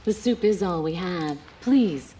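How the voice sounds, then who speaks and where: A syrupy fussy old housekeeper, warm lowish voice that swoops, faint hiss. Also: female voice, lively intonation, unhurried. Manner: sweet, fussing. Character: doting older woman caretaker, overly sweet. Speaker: game character.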